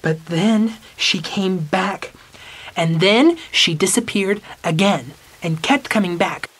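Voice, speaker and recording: A woman's voice: medium pitch, slow, slightly rough, low-quality recording. A woman playing an eager, helpful schoolboy in a modern audio drama, talking in an earnest, colloquial way. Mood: spooky, conspiratorial.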